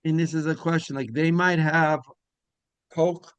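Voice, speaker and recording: A man hosting a casual interview, rambling through halting questions that double back on themselves. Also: a little high, steady tone, clear, resonant tone, no echo, highs cut off. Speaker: interviewer and show host.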